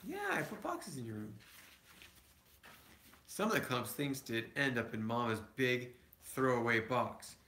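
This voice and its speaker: A man's voice: medium pitch, big pitch swings, measured pace, bright, forceful tone. A man reading a picture book aloud to his children, with conversational asides. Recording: echoey room.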